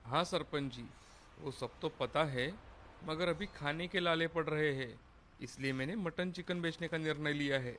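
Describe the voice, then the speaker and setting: A man voicing a humble, poor village father turned food seller, polite and deferential, in an animated moral story. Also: a little high, moderate pace, slight background noise, lightly acted. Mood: resolved, humble.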